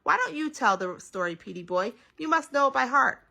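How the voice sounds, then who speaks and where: Woman as storybook father, tired, indulgent, teasing, creaky edge, bright tone. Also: sits high, expressive, a little fast, voice pushed up, exaggerated voice. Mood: wry, indulgent. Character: tired, good-humoured father in a bedtime storybook.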